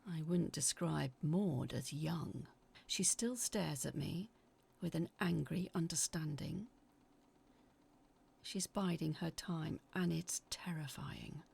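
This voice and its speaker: A woman acting as an anxious narrator, telling a scripted first-person horror story in a confiding, uneasy, dramatic way with a husky, creaky voice. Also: deep voice, slow pace, a little mellow, heavily acted. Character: anxious woman reading out her frightened letters about sinister events.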